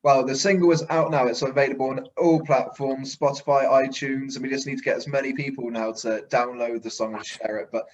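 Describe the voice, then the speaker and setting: A man with a mid-pitched voice, recorded a little dull and lo-fi. He hosts an interview in a conversational, effusive way, full of compliments. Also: steady tone, fairly quick, hardly any pauses. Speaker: interview show host.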